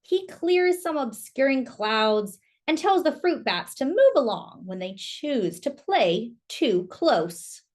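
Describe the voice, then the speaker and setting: Slow, very animated woman reading aloud. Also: sits high. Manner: asks young listeners questions and comments on pictures. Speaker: storyteller. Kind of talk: children's picture book read-aloud.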